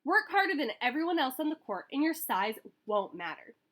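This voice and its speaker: Lady reading as kind old grandpa in a storybook, upbeat advice, high lively voice. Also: normal speed. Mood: encouraging. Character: kindly, encouraging grandfather at a service station.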